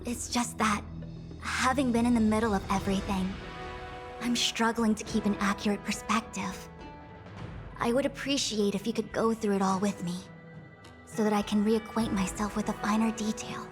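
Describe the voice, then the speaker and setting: A woman voicing a dignified, authoritative young diviner and acting general in a video game, speaking formally and with measured eloquence. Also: medium pitch, fairly even intonation, music in the background, natural voice. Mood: composed, businesslike. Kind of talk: video game character dialogue.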